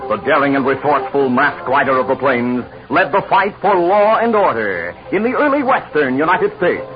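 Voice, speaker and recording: A man narrating a 1950s radio western in a grand, declamatory announcer style. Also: on the high side. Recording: muffled 1950s radio recording with music. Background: orchestral music.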